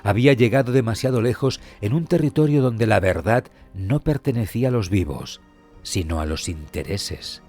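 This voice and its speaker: A man narrating a scripted mystery story in a solemn, dramatic manner with deliberate pauses and a husky voice. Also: lowish voice, animated, a little mellow. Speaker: podcast narrator. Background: background music.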